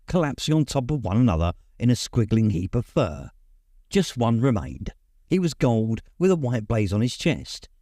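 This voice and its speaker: Mellow man narrating with lively intonation. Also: medium pitch, no echo, clean studio sound. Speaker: audiobook reader. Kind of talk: scripted literary narration.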